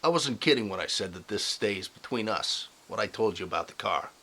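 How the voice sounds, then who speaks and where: A man's voice: fairly low pitch, expressive, fairly quick, pushed and bright, a little hiss or hum. A man playing a cocky teenage star football player in an audio drama, smug and bullying. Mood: insinuating.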